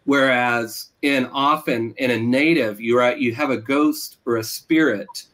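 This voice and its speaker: An American man from Oklahoma answering interview questions as a writer, thoughtfully and haltingly, searching for words and repeating phrases. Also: medium pitch, fairly bright tone. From Oklahoma, USA. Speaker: interviewed novelist.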